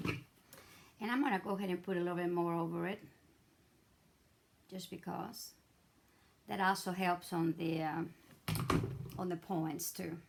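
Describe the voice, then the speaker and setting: Hoarse, gravelly woman teaching crafts. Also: on the low side, steady tone, normal speed, pauses often, slight background noise. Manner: chatty, rambling, many fillers and restarts. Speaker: craft tutorial host. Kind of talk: casual step-by-step craft demonstration.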